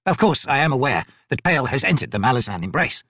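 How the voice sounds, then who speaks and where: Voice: medium pitch, very animated, fairly bright tone, husky, dry recording, clean studio sound, heavily acted. A narrator playing a meek, nervous old male trader in an audiobook, hesitant and fussily formal. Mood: meek, earnest. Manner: slow, hesitant, formal and fussy.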